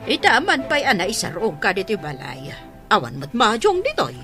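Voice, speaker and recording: An actress with an Ilocano accent playing a protective, sharp-tongued mother in a radio drama, blunt and questioning. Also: mid-range voice, huge pitch swings, moderate pace, soft background music. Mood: annoyed.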